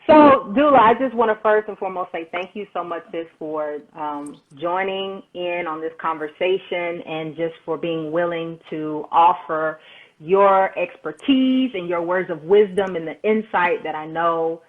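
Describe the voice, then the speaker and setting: A woman's voice: fairly low pitch, a little slow. Woman host on a tinny phone-like line. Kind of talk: casual video chat interview.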